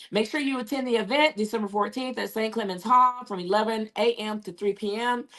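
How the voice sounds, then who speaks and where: A woman with a hoarse, raspy voice from losing it, hosting a casual talk show and chatting along with many fillers. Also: mid-range voice, a bit bright. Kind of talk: casual live show sign-off.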